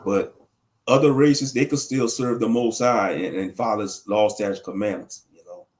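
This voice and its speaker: A man's voice: lowish voice, fairly even intonation, normal speed. Male livestream commentator; loose, slangy, preachy ramble while reading the chat. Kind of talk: casual livestream talk answering chat.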